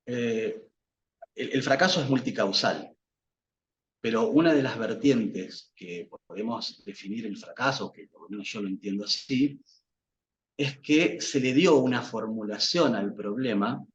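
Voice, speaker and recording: A man's voice: mid-range voice, unhurried. Man, Portuguese native, academic talk, hesitant, faint background hiss. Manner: hesitant, many fillers and restarts. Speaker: psychoanalyst guest. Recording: dull lo-fi sound with faint noise.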